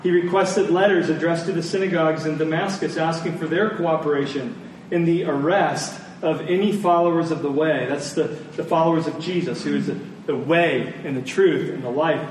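Male preacher, notably high voice, emphatic and repetitive sermon delivery, recorded at a distance in a very echoey space. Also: fairly even intonation. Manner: emphatic, with deliberate repetition and rhetorical questions. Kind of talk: sermon to a live congregation. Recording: very echoey room, distant mic, faint noise.

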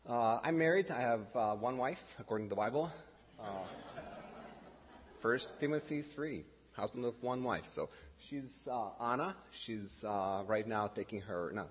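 A middle-aged man from Romania with a Romanian accent, a pastor giving a halting, nervous sermon introduction full of fillers and self-corrections. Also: fairly low pitch, slightly rough, in a large hall, lo-fi sound. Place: church hall.

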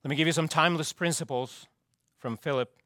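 A man preaching a sermon as pastor, his voice straining and hoarse, with slow, emphatic delivery that repeats key phrases and drops low at the ends of lines. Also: sharp tone, dry recording, studio quality. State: losing his voice, clearing throat.

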